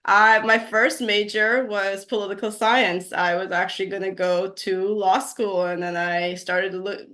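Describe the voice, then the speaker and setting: Woman in her 40s from guyana, bright clear voice, chatty storyteller w lots of ums. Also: medium pitch, fairly even intonation, a little slow. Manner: storytelling, warm laughs, many fillers. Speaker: guest nurse practitioner. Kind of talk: interview conversation.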